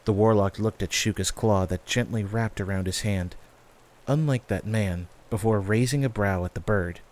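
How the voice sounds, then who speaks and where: A man's voice: lowish voice, moderate pace, darkish tone. A man working as narrator, reading a scripted fantasy story. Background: background music.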